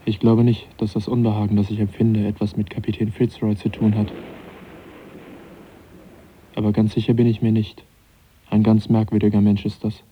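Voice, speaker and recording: An uneasy young naturalist. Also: male voice, lowish voice, completely flat delivery, normal speed, long pauses, breathy edge, dull audio. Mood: brooding. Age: young adult. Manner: reserved, dryly ironic. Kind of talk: 1980s radio play acting.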